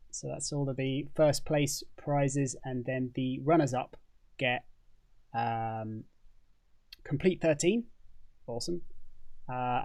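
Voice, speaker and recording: Chatty British man hosting a livestream. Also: mid-range voice. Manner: relaxed, chatty, with frequent fillers and restarts. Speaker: host. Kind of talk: casual livestream chat. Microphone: condenser mic.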